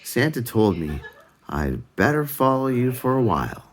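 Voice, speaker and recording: A man's voice: mid-range voice, big pitch swings, moderate pace, lightly acted. A man voicing a friendly, reassuring reindeer from a children's storybook, with a kindly, encouraging manner.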